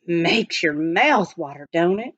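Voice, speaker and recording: A woman's voice: lowish voice, animated, slow pace, a bit bright, breathy edge, highs cut off, heavily acted. A woman voicing a hearty, folksy mountain man of the gold rush in a storybook reading, drawling and exclamatory. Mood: hearty, eager.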